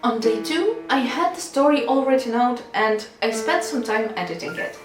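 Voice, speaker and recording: A woman narrating a scripted vlog in an expressive, playful way. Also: fairly high pitch, moderate pace. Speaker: video creator, indie game developer. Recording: roomy echo, distant mic, music behind. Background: instrumental music.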